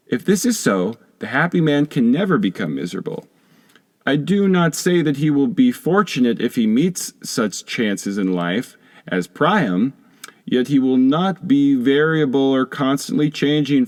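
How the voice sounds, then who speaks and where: A man narrating a philosophy audiobook in a measured, formal, lecturing manner. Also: mid-range voice. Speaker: audiobook reader. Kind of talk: scripted narration of a philosophy text.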